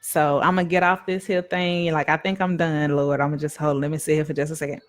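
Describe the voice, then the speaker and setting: Emphatic woman minister, low-pitched. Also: little pitch movement, fairly quick. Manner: emphatic, repetitive preaching cadence; casual, addresses viewers as y'all. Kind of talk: live-streamed devotional talk.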